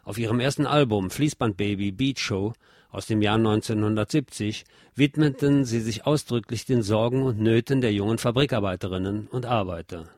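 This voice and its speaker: A man's voice: on the low side, a little mellow, dry recording. Guy reading a scripted music history piece, careful lecturing delivery, close dry studio sound. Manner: measured, articulate lecturing delivery. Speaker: presenter of a music history programme. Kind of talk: scripted documentary narration.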